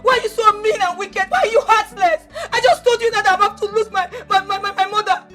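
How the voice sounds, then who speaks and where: An actress playing a young woman who cries, pleads and shouts at her uncle for money. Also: extremely high pitch, fairly even intonation, normal speed, soft background music. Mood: accusing. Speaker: actor.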